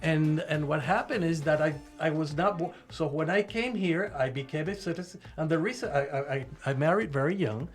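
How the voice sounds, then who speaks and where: An older man born in Cuba, co-hosting a casual radio chat, animated and full of restarts. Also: a little high, fairly quick, hardly any pauses, soft background music. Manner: animated, restarts often, rising when stirred. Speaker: co-host.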